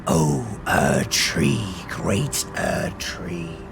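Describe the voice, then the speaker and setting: A devout worshipper intones a slow, solemn prayer. His voice is very deep and gravelly, with sweeping rises and falls. Game music plays beneath him. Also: expressive, exaggerated voice. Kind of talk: video game dialogue.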